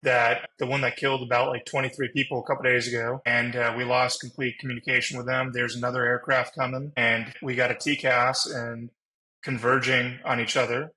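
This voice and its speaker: A man's voice: mid-range voice, flat delivery, a little fast, sharp tone, poor audio. A man who flies as a pilot, chatting casually as a panelist on a podcast.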